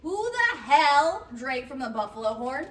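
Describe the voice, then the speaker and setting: A woman's voice: sits high, very animated, measured pace, fairly bright tone, heavily acted. A woman playing a blunt, dryly defiant widowed mother and football fan in a live comedy table read. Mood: indignant. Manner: dry, unyielding. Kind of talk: live comedy script reading. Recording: distant mic, noisy live room.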